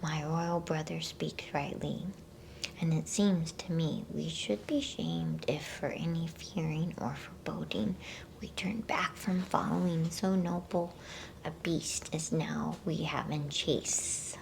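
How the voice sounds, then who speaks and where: Female ASMR storybook reader: hushed breathy whisper, very slow delivery, crackly fry, intimate close mic. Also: low voice, expressive. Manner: hushed, unhurried, with playful asides. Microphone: close microphone.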